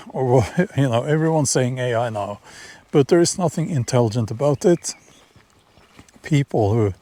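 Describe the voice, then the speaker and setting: A middle-aged man with a Norwegian accent, talking casually to camera outdoors in a vlog, halting and repeating words as he shares opinions. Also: medium pitch, a little mellow. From Norway. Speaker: vlogger. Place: outdoors in nature, near horses.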